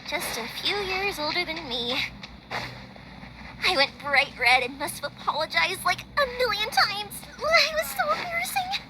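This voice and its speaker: A woman voicing an upbeat, chatty, kind-hearted young fireworks maker in an anime video game, expressive in her delivery. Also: very high-pitched, unhurried, noisy background, exaggerated voice. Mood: chatty, advising. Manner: animated, expressive. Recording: music behind the voice.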